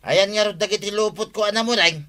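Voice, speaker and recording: A whiny, lazy husband in an Ilocano radio comedy. His voice is high and very sharp and forceful, always grumbling and demanding. He speaks with an Ilocano accent. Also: fast talker. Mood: grumbling, indignant. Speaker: actor in radio comedy drama.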